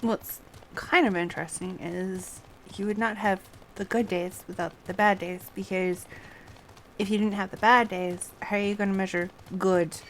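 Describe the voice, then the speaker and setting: A woman's voice: mid-range voice, steady tone, normal speed, slight background noise. A woman hosting a casual game livestream, chatting playfully and making light fun of herself. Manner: chatty, giggly, playful, self-deprecating. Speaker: game streamer and host. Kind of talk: casual livestream gameplay chat.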